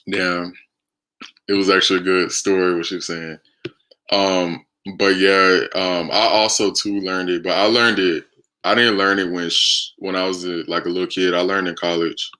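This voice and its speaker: An American man with a deep, low voice hosts a live stream. He talks in a casual, conversational way, like someone answering questions from viewers. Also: little pitch movement, normal speed, pushed and bright, poor audio. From United States.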